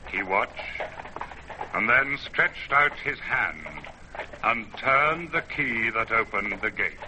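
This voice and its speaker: A grave mountain spirit, narrating an old radio drama with brooding patience. The delivery is very slow and portentous, on a clearly noisy vintage broadcast recording. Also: male voice, medium pitch, lively intonation. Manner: solemn, portentous, measured.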